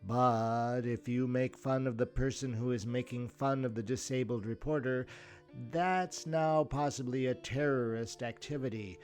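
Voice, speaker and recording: Man, average pitch, wry, measured delivery, scripted commentary, instrumental music bed. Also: a little mellow. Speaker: podcast host. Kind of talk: scripted commentary over audio clips.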